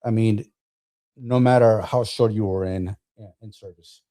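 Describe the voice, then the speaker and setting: Low, mellow man in his fifties. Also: fairly even intonation, unhurried. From New Jersey, born in Colombia. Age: 50s. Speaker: podcast guest, Marine veteran. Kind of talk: casual podcast storytelling.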